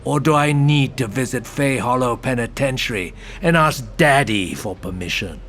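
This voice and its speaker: A man playing a gruff, blunt, foul-mouthed senior police detective with a gravelly voice in an audio drama. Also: mid-range voice, animated, normal speed, a bit bright, a little hiss or hum, natural voice. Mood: intense, persuading. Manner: blunt, persuasive, intense.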